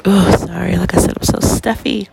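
A congested young woman hosts her podcast, her stuffy voice full of deep gravelly fry. She chats in a relaxed, encouraging way, filling pauses with ums. A faint noise sits under the recording. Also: low-quality recording. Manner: chatty, encouraging, many ums. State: congested with a cold, stuffy nose. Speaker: podcast host. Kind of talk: casual solo podcast advice.

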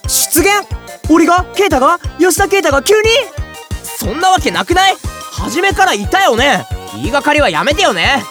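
A woman playing an excitable, scatterbrained young boy who complains loudly in an audio drama. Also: high-pitched, moderate pace, music in the background. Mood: indignant, baffled. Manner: exclamatory, rambling comic complaints. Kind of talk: audio drama acting with first-person narration.

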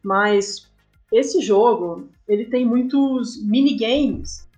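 A Brazilian woman speaking as an interview guest, explaining her creative work casually and thoughtfully in short, halting phrases. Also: on the high side, a little mellow. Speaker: interview guest, comic artist and game writer. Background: background music.

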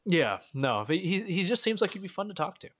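A man with a Canadian accent hosting a podcast in a casual, halting, blunt and self-deprecating way. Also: sits high, big pitch swings, a bit bright, dry recording, clean studio sound. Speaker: podcast host. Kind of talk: casual chat.

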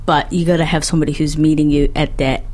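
A woman in her twenties with a New Zealand accent, speaking thoughtfully and in a measured way as a podcast guest. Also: sits low, flat delivery, darkish tone. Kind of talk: reflective conversation.

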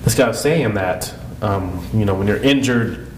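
Low-voiced congested man in echoey room. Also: normal speed. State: congested and sniffly from a head cold. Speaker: podcast co-host. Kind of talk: casual podcast conversation. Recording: echoey room with clear background noise.

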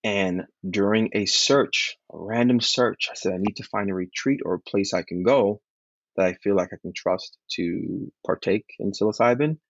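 A man from Liberia, a podcast guest, talking in an earnest, measured way about grief and healing. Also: on the low side, gravelly, breathy edge, no echo. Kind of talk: reflective interview talk. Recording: dull lo-fi call audio.

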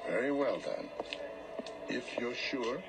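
Gravelly, courteous old shopkeeper. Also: male voice, medium pitch, wildly swooping pitch, over music, heavily acted. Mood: calm, enigmatic. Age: older. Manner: polite, measured, enigmatic. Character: mysterious, mild, courteous old shopkeeper, unhurried man. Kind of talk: radio drama acting.

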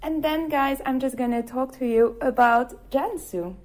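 A woman's voice: high-pitched, unhurried, a little mellow. A woman in her twenties from France, co-hosting a casual podcast, bubbly and keeping the talk moving. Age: 20s. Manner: bubbly, takes charge of the order. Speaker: podcast co-host.

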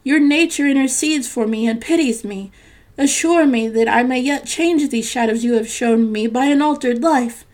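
A woman voicing an old male miser in a storybook, with a fervent, excitable, exclamatory delivery. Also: sits high, normal speed, natural voice. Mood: desperate pleading. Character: old man miser, desperately pleading then giddy with joy.

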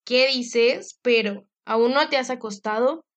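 A woman's voice: a little high, normal speed, fairly bright tone, lightly acted. A woman voicing a stern middle-aged father who speaks brusquely and scolds.

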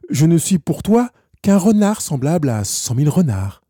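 A man voicing a lonely, wistful fox in a storybook, explaining gently in simple phrases. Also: fairly high pitch, big pitch swings, moderate pace, husky, no room sound, clean studio sound, voice pushed up. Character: wistful, lonely wild fox longing to be tamed.

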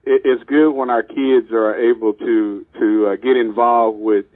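A mid-pitched man co-hosts an interview, friendly and halting as he repeats his words. His voice sounds thin and narrow, like a phone line. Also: steady tone, no room sound. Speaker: podcast co-host, police chief. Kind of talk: casual podcast interview. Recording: tinny phone-line audio.

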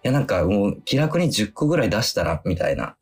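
A man's voice: fairly low pitch, sing-song, brisk, breathy edge. A man guest on a radio show, a film director, answering politely with many hesitant fillers. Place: radio studio.

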